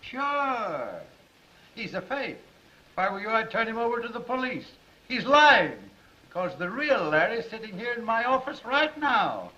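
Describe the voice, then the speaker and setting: An actor playing a sly, double-crossing newspaper boss in a 1930s film. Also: male voice, very high-pitched, very animated, a little slow, bright, forceful tone, heavily acted. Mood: smooth, sly. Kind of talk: 1930s Hollywood film acting. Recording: muffled, noisy 1930s film soundtrack.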